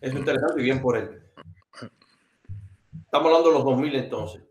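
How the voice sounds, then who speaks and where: A man interviewing a guest, asking drawn-out, hesitant questions. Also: mid-range voice, darkish tone, roomy sound. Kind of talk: conversational interview.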